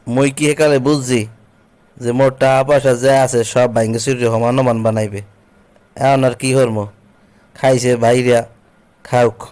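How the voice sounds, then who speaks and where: A man's voice: medium pitch, a little mellow, close to an everyday voice. A man with a Barisal-region Bangladeshi accent playing an aggrieved, jobless husband home from abroad in a comic cartoon. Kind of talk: comic cartoon dialogue in rural dialect.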